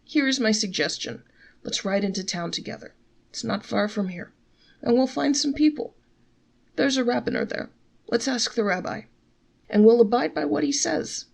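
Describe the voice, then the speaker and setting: A woman's voice: on the high side, moderate pace, vocal fry, pitched above the performer's own voice. A woman reading a story, voicing a shrewd male innkeeper who talks in a wheedling, mock-innocent way. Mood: coaxing.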